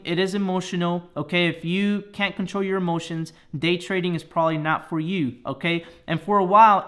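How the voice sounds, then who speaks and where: A man's voice: high-pitched, fairly bright tone. A man in his thirties from the Dominican Republic, giving an earnest motivational talk to camera and ending his points with "okay?". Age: 30s. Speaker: personal finance YouTuber.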